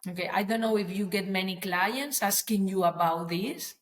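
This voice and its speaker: A woman's voice: medium pitch, normal speed. Woman lawyer explaining. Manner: earnest, explanatory, non-native phrasing with restarts. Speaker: property lawyer, guest. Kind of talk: podcast interview, explaining.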